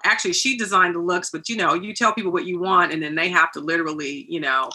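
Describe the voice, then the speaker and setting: A woman's voice: fairly low pitch, steady tone, fast talker, sharp tone, breathy edge. A woman answering conversationally as a hair and makeup artist being interviewed about film work.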